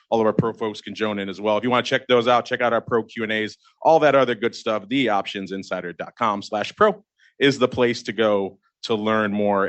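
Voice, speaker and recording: A male host keeps things loose and teasing as he introduces his panel at a live event. His voice sits at a middle pitch, and the sound is dry and close. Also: a bit bright, no echo. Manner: jokey, teasing banter. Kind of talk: casual chat. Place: event space away from the studio.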